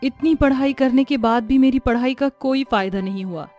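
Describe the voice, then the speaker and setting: A woman's voice: high-pitched, normal speed, darkish tone, soft background music, lightly acted. Woman playing a young village wife. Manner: earnest, thinking aloud. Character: young village wife, engineer, later a witch.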